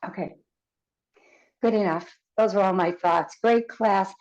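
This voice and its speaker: A woman's voice: medium pitch, a little slow, a little mellow, highs cut off. A woman taking part in a class on a video call, chatty and asking questions. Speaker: class participant.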